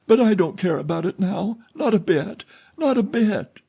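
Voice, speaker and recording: A man reading an audiobook, voicing a frightened young woman who pleads in a high, strained voice. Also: very animated, a little slow, mellow, husky, full character voice.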